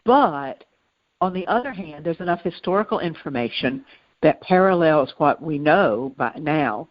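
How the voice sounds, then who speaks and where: A woman talks casually as a podcast co-host, thinking aloud in halting, reflective phrases. The recording is very dry and a little muffled. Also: sits low, animated, no room sound. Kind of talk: casual conversational discussion.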